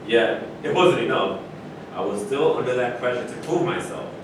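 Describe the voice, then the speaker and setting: A man's voice: lively intonation, moderate pace, lightly acted. A man playing a proud, frustrated former basketball star in a staged reading of a play. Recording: very echoey hall, distant mic, rumbling noise.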